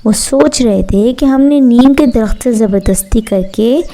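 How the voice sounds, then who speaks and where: A woman's voice: a little high, measured pace, warm tone. A woman narrating a scripted children's bedtime story as a storyteller.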